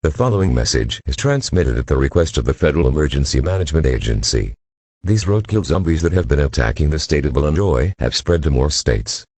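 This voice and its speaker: Very deep husky male alert announcer. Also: very animated, a little fast, a little mellow. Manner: formal, impersonal. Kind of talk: scripted emergency alert announcement.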